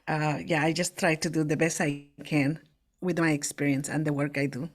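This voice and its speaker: A woman from Latin America, a guest on an interview podcast, who speaks earnestly with frequent pauses and restarts. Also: sits low, flat delivery. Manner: halting, many fillers and restarts, earnest. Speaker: guest, immigration affairs official. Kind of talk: casual interview.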